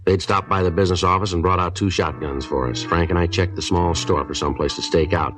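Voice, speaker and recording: Low, clipped, terse police detective played by a man. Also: fairly even intonation, fairly quick, close to an everyday voice. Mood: deadpan, factual. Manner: clipped, matter-of-fact. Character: terse, steady male police detective and narrator. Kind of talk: 1950s radio police drama acting. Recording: 1950s radio recording with music behind.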